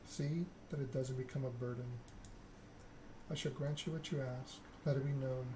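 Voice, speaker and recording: A man plays a stern vampire prince, a woman ruler in a story game. The delivery is formal and deliberate, held very level, over a noisy background. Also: medium pitch, fairly even intonation, lightly acted.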